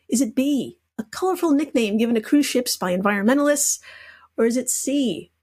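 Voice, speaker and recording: Woman, higher-pitched voice, playful and sweary, running a casual comedy quiz on a podcast. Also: darkish tone. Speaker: podcast guest posing quiz questions.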